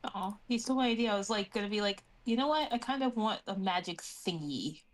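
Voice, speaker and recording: A woman's voice: mid-range voice, lively intonation, brisk, rough recording. A woman chatting casually as a player in a tabletop role-playing game.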